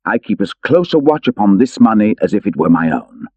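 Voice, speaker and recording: A man's voice: lowish voice, sing-song, fairly quick, darkish tone, husky, no room sound, highs cut off. A man voicing an earnest, dutiful outlaw treasurer in a storybook, careful and itemising.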